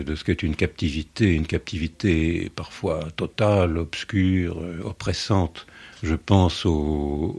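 A man with a lowish, gravelly and husky voice. He speaks reflectively as a guest, halting as he builds literary phrases. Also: darkish tone. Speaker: interview guest. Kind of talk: reflective discussion.

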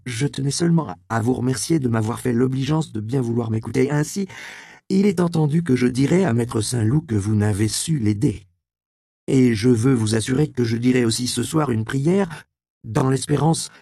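A man's voice: medium pitch, normal speed, vocal fry, warm tone, dry recording, pitched above the performer's own voice, close to an everyday voice. A male audiobook reader voicing a young apprentice who speaks with elaborate, overly courteous formality. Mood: sly politeness. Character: bold young male apprentice, polite but cunning.

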